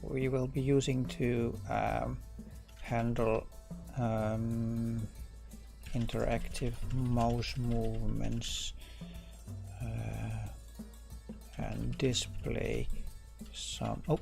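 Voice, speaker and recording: A middle-aged Dutch man with a Dutch accent, live-coding on a stream and thinking aloud, relaxed and halting with many fillers and restarts. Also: mid-range voice, creaky, soft background music. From Netherlands.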